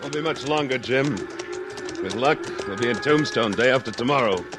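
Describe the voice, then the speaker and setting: A man's voice: mid-range voice, normal speed, lightly acted. An actor playing a tough, terse Civil War veteran cattleman in an American radio western. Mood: cautioning. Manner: terse, blunt. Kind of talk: 1950s radio western acting. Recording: noisy old radio broadcast.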